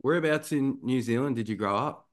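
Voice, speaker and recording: A man co-hosting a podcast, who drops in quick, playful asides and short questions. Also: medium pitch. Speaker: podcast co-host. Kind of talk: casual podcast interview.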